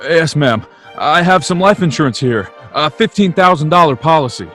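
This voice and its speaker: Man acting a desperate bitter family guy in a radio drama, kinda high voice, halting, some music. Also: lively intonation, a bit bright. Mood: apologetic, pleading. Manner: agitated, halting, emotional. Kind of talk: old-time radio play acting.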